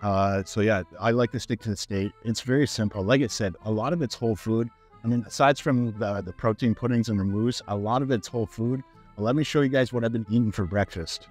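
A man's voice: fairly low pitch, fairly quick, darkish tone, soft background music. A man in his thirties from Canada, chatting casually to camera while walking through a grocery store on a lapel mic. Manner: chatty, upbeat, talks to camera with fillers. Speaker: fitness vlogger. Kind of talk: casual walk-through vlog.

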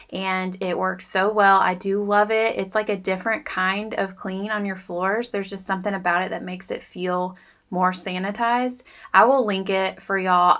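A woman's voice: medium pitch, fairly bright tone. Chatty woman confiding in viewers. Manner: chatty, confiding, self-deprecating. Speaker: cleaning vlogger. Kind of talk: casual voice-over narration.

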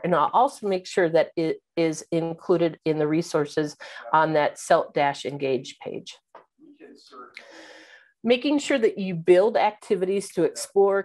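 A middle-aged woman on a video call, giving a keynote on teaching with an instructive manner, some ums and uhs, often checking in with "right?". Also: fairly low pitch. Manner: instructive, fillers like um and uh, asks "right?". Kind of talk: webinar presentation. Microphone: video call webcam.

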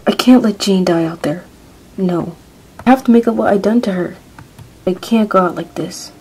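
A woman acting an injured young woman searching for her lost friend in an amateur audio drama, talking in a breathy half-whisper and often out of breath. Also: mid-range voice, moderate pace, darkish tone, faint music behind, close to an everyday voice, poor audio. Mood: determined.

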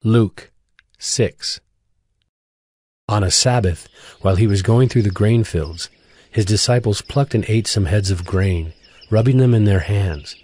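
A man narrating scripture for a dramatized audio Bible in a measured, formal storytelling manner. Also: on the low side, a little mellow. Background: music.

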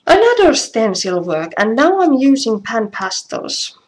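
A woman from Finland with a Finnish accent, giving a casual craft tutorial in halting, self-deprecating English with a creaky voice. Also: fairly high pitch, big pitch swings. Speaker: craft demonstrator. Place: indoors at home. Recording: noisy live stream with clicks.

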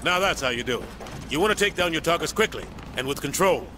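A brash American sergeant, played by a male actor, instructs recruits in a military game. His voice sits high for a man, and he projects blunt, no-nonsense commands to the group. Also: lively intonation, fast talker, noisy background, close to an everyday voice. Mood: commanding, blunt. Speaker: drill instructor. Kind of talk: military game tutorial dialogue.

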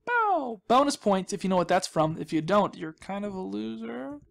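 A man's voice: sits high, sing-song, moderate pace, gravelly, fairly bright tone. A young man giving casual, rambling commentary as he hosts a gaming video, with a goofy, self-deprecating, mock-dramatic manner.

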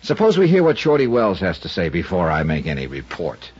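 A hard-boiled insurance investigator presses suspects in a dry, sardonic way. His voice is low and husky. The old radio recording sounds dull and muffled. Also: wildly swooping pitch, brisk, darkish tone, full character voice. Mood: probing. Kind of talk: 1940s radio acting.

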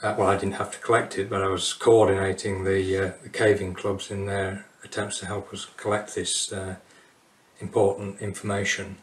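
Conservation guy, low flat voice, lots of uh, roomy sound. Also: moderate pace, slight background noise. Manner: hesitant, many uh fillers. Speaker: nature conservation officer. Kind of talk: documentary interview.

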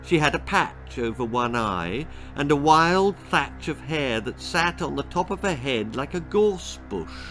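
A man's voice: a little high, expressive, a little slow, hardly any pauses, a bit bright, soft background music, rough recording. Older English man reading a story dramatically. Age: 60s or older. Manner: dramatic, relishing delivery. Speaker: author reading his story. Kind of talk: expressive storybook narration. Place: small book-lined room indoors.

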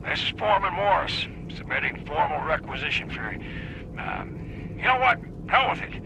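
An actor playing a blunt, fed-up colony foreman with a gravelly voice, griping through a game audio log. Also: on the high side, very animated, normal speed, noisy background, exaggerated voice. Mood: exasperated, urgent. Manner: blunt, exasperated, folksy. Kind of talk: gruff scripted audio log.

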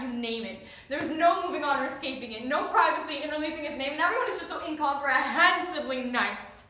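A woman performing a dramatic monologue as a bitter, grieving teenage daughter of a soldier, emphatic and swinging from hushed to shouting. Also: on the high side, close to an everyday voice. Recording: very echoey room, distant mic, faint noise.

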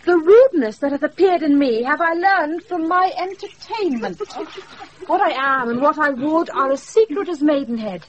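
A British actress playing a quick-witted young woman disguised as a saucy page boy in a Shakespeare radio play, recorded off a wireless. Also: high voice, expressive, muffled recording. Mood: bold, pleading. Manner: saucy, theatrical, breaking into laughter.

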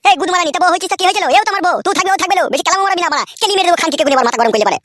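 High-pitched, blustering cartoon husband. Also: male voice, rapid-fire, fairly bright tone, slight background noise. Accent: rural West Bengal. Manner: bickering, blustering, crude. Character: brazen, irritable village husband with two wives. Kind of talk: comedy cartoon dub, quarrelling.

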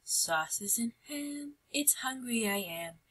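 A woman voicing a hungry, menacing storybook kitchen cat in a drawn-out, purring chant. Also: on the high side, animated, fairly bright tone, breathy edge, heavily acted. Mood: hungry menace. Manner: slow, drawn-out chanting purr.

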